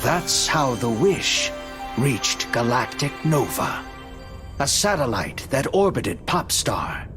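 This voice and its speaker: Slow, solemn male narrator over music. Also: mid-range voice, very animated. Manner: solemn, measured storyteller delivery. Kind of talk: scripted cinematic storybook narration. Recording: music behind the voice.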